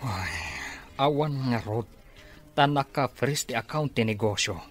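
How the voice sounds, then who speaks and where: An actor with an Ilocano accent playing a hapless, defensive accomplice in a radio drama. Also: male voice, mid-range voice, expressive, unhurried, close to an everyday voice. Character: hapless male accomplice making excuses.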